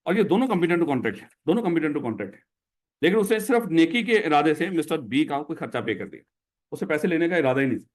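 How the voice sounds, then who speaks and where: A man's voice: a little high, very animated, fairly quick. A man with a Pakistani Urdu accent teaching a classroom lecture, who explains by putting questions to his students.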